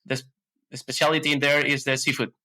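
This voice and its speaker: A man's voice: mid-range voice, flat delivery, fast, bright, forceful tone. A man from Ecuador, answering podcast questions in a hesitant way, with many fillers and restarts. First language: Spanish. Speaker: podcast guest. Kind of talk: casual interview answers.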